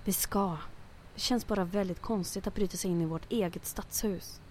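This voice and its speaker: A woman's voice: mid-range voice, fairly even intonation, darkish tone, slight background noise, natural voice. An actress playing a wry, determined female mission leader in an audio drama, with a dry, sardonic way of talking.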